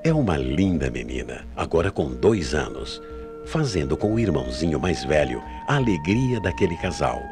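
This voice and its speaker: Very deep-voiced Brazilian male radio narrator. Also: big pitch swings, normal speed, over music. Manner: solemn, suspenseful. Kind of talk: dramatic scripted narration of radio mystery tales.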